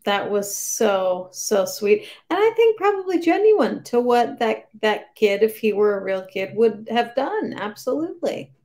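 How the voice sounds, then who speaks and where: A woman's voice: fairly high pitch, big pitch swings, a little slow, hardly any pauses, a little mellow. A woman in her thirties chatting casually and hesitantly about books on a video call, understated in manner. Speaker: book club co-host. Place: small room at home.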